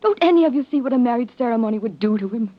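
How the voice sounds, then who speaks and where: An actress playing an intense, scheming heiress in old-time radio melodrama, speaking in a theatrical, emphatic way. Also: female voice, on the high side, animated, racing. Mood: indignant, urgent. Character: scheming heiress, outwardly pleading and sympathetic, intense. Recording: muffled vintage radio recording.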